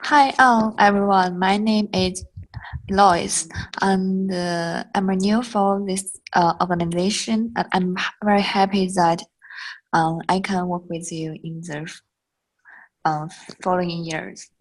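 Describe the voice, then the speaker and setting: A woman, new to the group, introducing herself shyly and haltingly on a video call. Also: fairly low pitch, steady tone, low-quality recording. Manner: shy, halting. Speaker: new team member.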